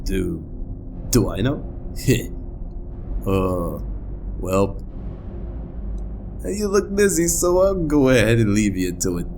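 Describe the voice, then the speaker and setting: A man's voice: lowish voice, very animated, slow, pauses often, darkish tone, slight background noise, exaggerated voice. A man voicing a laid-back, wisecracking but uneasy skeleton in a comic dub, mumbling hesitantly with dry humor. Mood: hesitant, wary.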